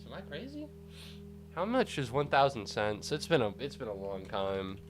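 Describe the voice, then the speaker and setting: A young man chatting casually on a live stream, congested and rambling with excitable outbursts. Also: mid-range voice, moderate pace, music in the background.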